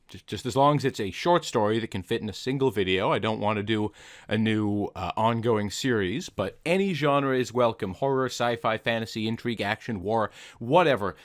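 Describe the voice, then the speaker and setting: A man's voice: fairly low pitch, no echo, studio quality. A man hosting a story reading channel, speaking informally and conversationally straight to his viewers. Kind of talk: casual channel outro addressed to viewers.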